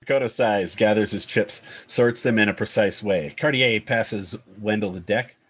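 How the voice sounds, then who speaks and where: A man's voice: on the low side, moderate pace, fairly bright tone, breathy edge. A man giving scripted narration, reading out the scene directions of a screenplay at a table read.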